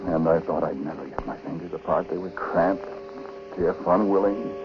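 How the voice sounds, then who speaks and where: An actor playing an uneasy husband in a 1940s radio drama, speaking colloquially and confidingly in hesitant, halting phrases. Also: male voice, low-pitched, over music. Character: uneasy husband in a small-time psychic scheme, narrating confidingly. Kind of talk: 1940s radio drama acting, confessional narration. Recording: muffled, noisy 1940s radio broadcast recording.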